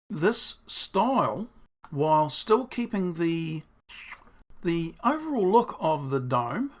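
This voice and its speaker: A man, a builder, talking through his design in a casual diary-style video, with a croaky, hoarse voice, rambling and self-deprecating as he thinks aloud. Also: a little high.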